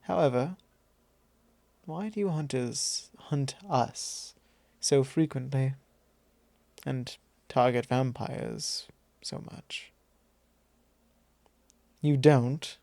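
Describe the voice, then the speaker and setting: Guy doing a possessive vampire lord asmr, soft mellow voice, super dry close sound, teasing and formal, drawly. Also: mid-range voice, extremely slow, gravelly, long pauses, no room sound. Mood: teasing, curious. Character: possessive male vampire lord, teasing, calmly probing his thrall. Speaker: ASMR roleplay performer. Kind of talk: ASMR roleplay, intimate and unhurried.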